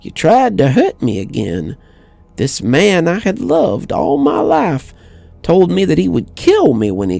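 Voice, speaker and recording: Man voicing a high-pitched, bitter old woman. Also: vocal fry, mellow, husky, no echo, exaggerated voice. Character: frail, bitter old widow confessing to murder. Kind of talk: acted horror story dialogue.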